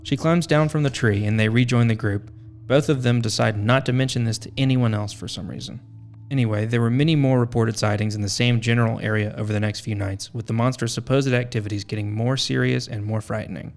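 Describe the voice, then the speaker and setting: A man's voice: medium pitch, steady tone, brisk. A man hosting a podcast, reading a scripted narration with wry, tongue-in-cheek asides. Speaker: podcast host.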